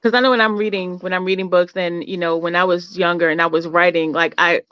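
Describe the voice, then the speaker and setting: Smooth-voiced interviewer with muffled dry sound. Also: medium pitch, steady tone, fast talker, no echo. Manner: rambling, restarts questions, encouraging "mm-hmm". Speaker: podcast host, interviewer. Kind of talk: casual interview questions.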